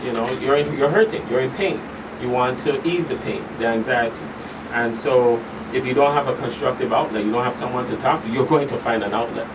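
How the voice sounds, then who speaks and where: A man speaking as an expert guest in a radio interview, explaining in a halting, deliberate way and repeating phrases for emphasis. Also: mid-range voice. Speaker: expert guest, school counselor. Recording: distant mic, clear hum and background noise.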